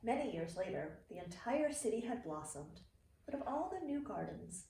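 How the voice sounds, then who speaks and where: A middle-aged woman, a teacher reading a children's picture book aloud with expressive, clearly phrased delivery for young listeners. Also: mid-range voice, moderate pace. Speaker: early learning teacher reading to students. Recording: roomy, distant mic with faint noise.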